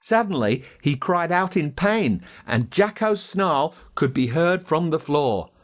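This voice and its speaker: An Australian man narrating a children's story in a dramatic, expressive way. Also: a little high, slow pace. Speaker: audiobook narrator.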